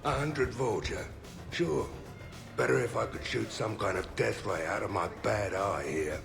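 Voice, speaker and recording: Rough old merc, low gravelly voice, blunt and rowdy, video game. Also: male voice, a little slow, over music. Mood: wry. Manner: blunt, sardonic. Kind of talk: video game character acting.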